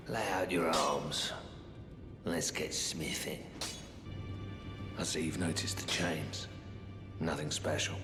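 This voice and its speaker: An actor playing a gruff, weary prisoner blacksmith in a video game, gravelly, terse and resigned. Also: male voice, a bass voice. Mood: weary, blunt. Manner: slow, terse, fatalistic. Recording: cavernous echo with long reverb.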